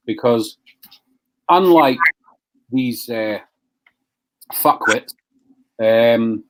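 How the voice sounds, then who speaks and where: A man's voice: mid-range voice, poor audio. A man hosting a live stream, ranting bluntly and swearing at rival businesses. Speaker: live show host, business owner.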